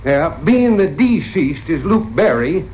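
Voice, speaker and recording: A man's voice: a little high, big pitch swings, measured pace. A man playing a crooked, folksy small-town western parson, glib and blustering, in old-time radio style. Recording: dull, hissy old radio recording.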